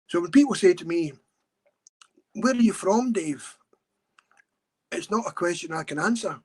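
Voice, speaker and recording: A man's voice: high voice, animated, normal speed, husky. A middle-aged Scottish man telling a story in an earnest, urgent way. He talks a lot, in a casual podcast chat. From Scotland. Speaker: campaigner and guest.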